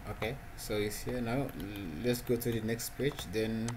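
A man hosting a programming tutorial, talking through his screen in a halting way, repeating words and using non-standard English phrasing. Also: medium pitch, level tone. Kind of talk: casual screen-recorded coding walkthrough. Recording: noisy home recording.

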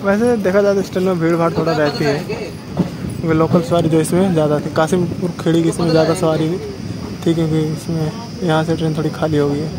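A man's voice: little pitch movement, a little fast. A man giving casual travel vlog commentary from inside a moving train. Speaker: railfan vlogger and host. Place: inside a moving train by an open window or door. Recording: loud rattling train noise throughout. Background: loud train rumble and rattle.

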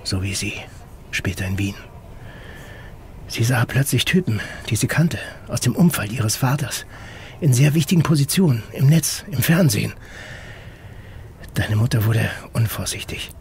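An actor playing a guarded, stern federal intelligence officer in an audio drama, terse and controlled. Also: male voice, mid-range voice, expressive, moderate pace, pauses often, slight background noise, natural voice. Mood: grave, subdued. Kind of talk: naturalistic German audio drama acting.

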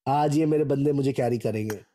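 A man with an Indian accent and a husky voice, performing short game callouts and catchphrases. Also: mid-range voice, fairly quick, warm tone. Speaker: game voice-pack voice.

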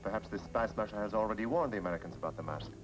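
An actor playing a menacing, calculating Gestapo villain in a 1940s film serial, measured and stern. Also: male voice, on the low side, natural voice, poor audio. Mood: grimly calculating. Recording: old 1940s film soundtrack with music.

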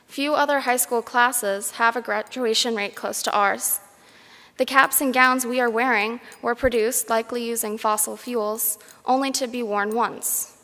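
Young woman, student orator, higher-pitched, measured and formal commencement address, long hall reverb. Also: sharp tone. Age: young adult. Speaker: graduating student speaker. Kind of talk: prepared commencement speech. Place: large concert hall, speaking at a graduation ceremony. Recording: echoey large hall PA.

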